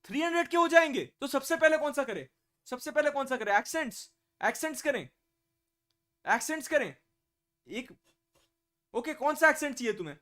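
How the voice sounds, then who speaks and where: A young man streaming live, chatting loudly and swearing, joking with his viewers. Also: extremely high pitch, expressive, a little fast, long pauses. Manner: loud, profane, joking, mixes Hindi and English, says bro a lot. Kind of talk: casual live-stream chat.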